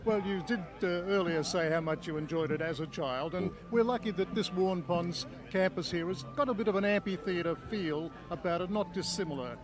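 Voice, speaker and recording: A man's voice: sits high, normal speed, few pauses, rough recording. An Australian man working as a reporter, chatting with guests in on-location interviews outdoors at an event. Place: outdoors at a Highland games. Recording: music clearly behind the voice. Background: music and outdoor event sound.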